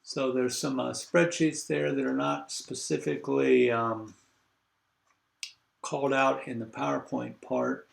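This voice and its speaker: Older man, congested, gravelly, roomy echo, halting online lecture. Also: mid-range voice, fairly even intonation. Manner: halting, many fillers, thinks aloud while clicking. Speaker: university lecturer. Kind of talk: online class lecture over video call. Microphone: video call.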